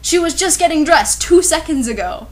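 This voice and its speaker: Female reviewer, fairly high voice, sarcastic and exasperated, reading fan fiction with mocking commentary. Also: animated, normal speed. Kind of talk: dramatic reading with sarcastic asides.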